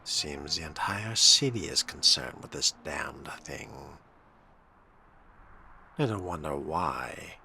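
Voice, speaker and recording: A man voicing a suave, menacing vampire in a video game roleplay, with a measured, sardonic, theatrical delivery. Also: very deep voice, animated, gravelly, sharp tone, a little hiss or hum, exaggerated voice. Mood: musing. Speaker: player voicing the game's main character.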